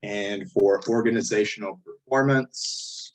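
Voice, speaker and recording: A man with a hoarse, failing voice who often clears his throat, walking step by step through a statistics software tutorial over a screen recording. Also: lowish voice, unhurried, poor audio. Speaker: statistics instructor. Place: indoors at a computer, voice-over on screen capture.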